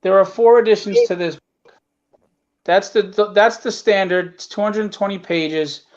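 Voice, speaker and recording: A man with a notably high voice talks casually as a guest promoting his own work. He is emphatic and repeats short phrases. The sound is somewhat dull and lo-fi, like a call. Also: unhurried, darkish tone. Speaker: comic book creator, guest.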